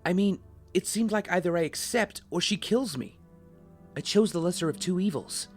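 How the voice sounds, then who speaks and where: A man's voice: high-pitched, moderate pace, soft background music, lightly acted. A man playing a defensive young castaway turned reluctant mercenary in an audio drama, hesitant in manner.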